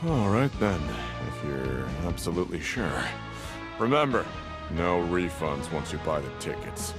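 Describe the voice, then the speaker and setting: An actor voicing a condescending ticket seller at a fight arena in a video game. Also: male voice, low voice, very animated, measured pace. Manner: condescending, salesman-like. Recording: game audio with music. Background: game music.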